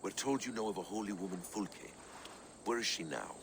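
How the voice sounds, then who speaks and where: Man playing a low, gravelly, probing schemer. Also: brisk, background noise, lightly acted. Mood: quietly probing. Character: quiet, probing, odd companion of a Viking lord. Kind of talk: dramatic video game cutscene acting.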